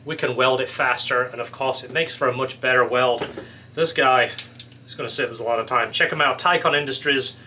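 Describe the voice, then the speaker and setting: A man's voice: mid-range voice, fairly even intonation, fairly quick, background noise. A middle-aged man casually explaining a product as a fabricator, in an informal, hands-on way. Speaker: fabricator and welder presenting a product. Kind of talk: casual workshop product demonstration. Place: small indoor workshop office.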